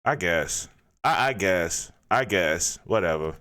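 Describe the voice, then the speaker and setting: A man's voice: low voice, animated, takes it slowly, bright, forceful tone. A man hosting a reaction video, ranting in disbelief and firing off rhetorical questions. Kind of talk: casual reaction commentary.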